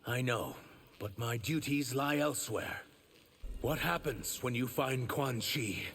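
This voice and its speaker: A man's voice: mid-range voice, a little slow, gravelly, a bit bright, a little hiss or hum. A man voicing an earnest young shapeshifting reptilian warrior in human form for a video game. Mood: earnest, guarded. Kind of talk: video game character dialogue.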